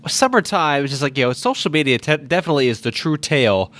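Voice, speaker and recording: A man's voice: a little high, expressive, fast, a bit bright. A nineteen-year-old man with a stuffed-up nose, rambling through a casual solo podcast with many restarts and asides. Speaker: podcast host. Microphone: podcast microphone.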